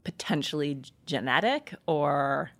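A woman with a low voice conducts a casual interview. She feels her way into questions with tentative restarts and rambling phrasing. The sound is dry, with very little room around her. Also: expressive, unhurried, gravelly, a bit bright, no echo.

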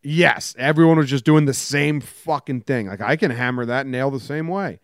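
A man's voice: mid-range voice, moderate pace, no echo. A man co-hosting a sports podcast, trading sarcastic banter and reading offbeat news headlines with dry jokes. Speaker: podcast co-host. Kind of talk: casual sports chat and jokey news rundown.

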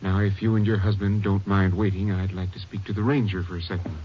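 An actor playing a calm, clinical, reassuring psychiatrist in a 1950s radio drama. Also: male voice, low-pitched, quick pace, soft background music, close to an everyday voice. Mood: calm, professional. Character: composed, reassuring male psychiatrist. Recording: muffled old radio recording, faint noise.

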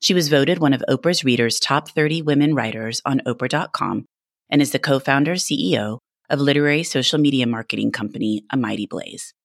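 A woman's voice: extremely low pitch, fairly quick, gravelly, dry recording, studio quality. A woman hosting a podcast, speaking in a presenter's interview and introduction style. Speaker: podcast host. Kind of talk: scripted intro and book interview.

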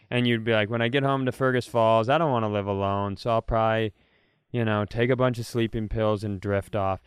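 A man with a clear, smooth voice and a mid-range pitch hosts a casual comedy podcast. He riffs like an animated storyteller, slipping into imagined quotes and swearing freely. The sound is close and dry, with hardly any room in it. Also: no room sound. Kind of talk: casual comedic chat, improvised hypothetical riffs.

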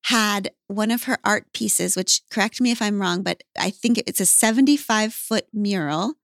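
A woman hosting a podcast, talking in an animated, emphatic way and swearing casually. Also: medium pitch, normal speed, vocal fry, no room sound, clean studio sound. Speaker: podcast host. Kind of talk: casual interview chat.

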